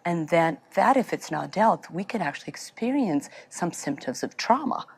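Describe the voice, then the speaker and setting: A woman from Serbia, a therapist answering interview questions, halting and searching for words. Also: lowish voice, sing-song, slightly rough. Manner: speaks with a foreign accent, halting, searching for words. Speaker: therapist guest.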